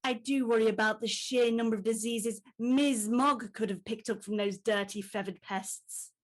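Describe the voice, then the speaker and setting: A woman's voice: fairly high pitch, steady tone, moderate pace, close to an everyday voice. A woman playing a self-important, put-upon boss with a haughty, long-suffering, melodramatic manner in a comedy drama. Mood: fretful, prissy.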